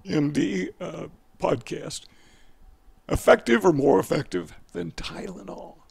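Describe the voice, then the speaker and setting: Raspy, gravelly older man explaining. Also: fairly high pitch, sing-song, a little mellow. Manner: folksy, digressive, pausing to correct himself. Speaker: video educator. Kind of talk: casual explanatory monologue. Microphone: headset mic.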